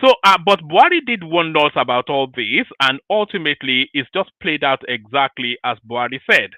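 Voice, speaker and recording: A male host delivers opinionated commentary in an emphatic, rhetorical way, circling back to the same phrases. His voice sits a little high and swings widely in pitch. The sound is dry and close, with no room echo. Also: very animated, brisk, sharp tone.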